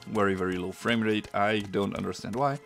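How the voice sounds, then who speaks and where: Deep gravelly German-accented man. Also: steady tone, fairly bright tone, soft background music. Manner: chatty, pauses to search for words. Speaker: gameplay streamer. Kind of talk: casual let's-play commentary.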